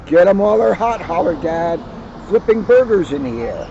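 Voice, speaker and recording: A man who is a police officer, reading a children's picture book aloud outdoors with lively storytelling. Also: high-pitched, moderate pace, creaky, dull audio, crowd noise. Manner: lively storytelling, livens up on quoted lines. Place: outdoors on a hill at a historic house.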